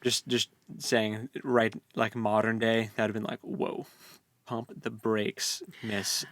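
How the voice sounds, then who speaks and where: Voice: mid-range voice, flat delivery, slow, a bit bright, no echo. A podcast co-host chatting casually about a novel with tongue-in-cheek commentary, repeating phrases and jokingly acting out lines. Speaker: co-host of a book read-along podcast.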